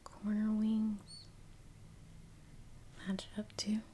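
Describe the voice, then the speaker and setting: A woman speaking in a hushed, breathy ASMR style, unhurried and trailing off in fragments as she works. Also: medium pitch, monotone. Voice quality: breathy, whispery. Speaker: ASMR creator painting on camera. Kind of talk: soft-spoken ASMR. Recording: clear background noise, ambient sounds. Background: soft brush and ambient sounds.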